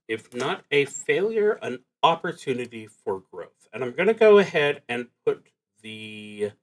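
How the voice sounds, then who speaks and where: A man narrating a solo tabletop game on a livestream, thinking aloud in a casual, halting way with pauses mid-sentence. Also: medium pitch, a bit bright. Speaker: solo tabletop roleplaying streamer.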